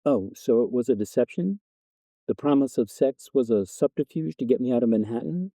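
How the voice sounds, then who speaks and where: A man's voice: mid-range voice, lively intonation, moderate pace, dark tone, no echo, natural voice, poor audio. A man playing a bickering fiancé of about thirty, sarcastic yet apologetic, in a story reading. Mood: defensive. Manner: defensive, sarcastic, placating. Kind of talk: storybook dialogue narration.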